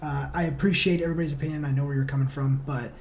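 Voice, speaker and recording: A man's voice: fairly high pitch, steady tone, very fast, darkish tone, slight background noise. A man talking casually as a podcast guest, earnest and reflective, feeling his way through his phrasing. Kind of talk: casual conversational interview talk.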